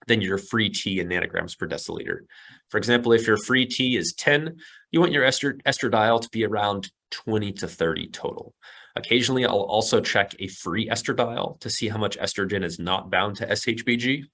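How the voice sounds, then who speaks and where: A hoarse male doctor, losing his voice, explaining medical details in precise, technical terms. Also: on the low side. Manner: precise, jargon-heavy, steady explaining. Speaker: physician guest.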